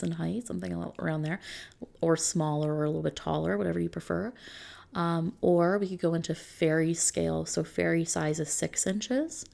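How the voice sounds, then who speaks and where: A soft-spoken woman doing an ASMR roleplay as a consultant, explaining options to a client in an unhurried manner. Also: lowish voice, gravelly.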